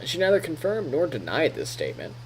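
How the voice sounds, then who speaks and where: Man narrating a story with lively pitch. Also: a little fast, slight background noise, rough recording. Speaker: streamer reading a visual novel aloud. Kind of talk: expressive story narration with casual asides.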